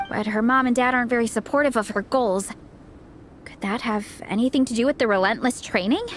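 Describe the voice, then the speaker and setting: A woman voicing a sweet, supportive young athlete in a video game, gentle, sincere and encouraging. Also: a little high, a little fast, slight background noise, close to an everyday voice. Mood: concerned. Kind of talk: scripted video game voice acting.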